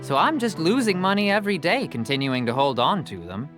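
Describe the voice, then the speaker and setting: A jovial smooth-talking merchant. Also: male voice, fairly high pitch, big pitch swings, fairly quick, fairly bright tone. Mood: insistent. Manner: jovial, persuasive, salesman patter, chuckling. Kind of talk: video game dialogue. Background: game music.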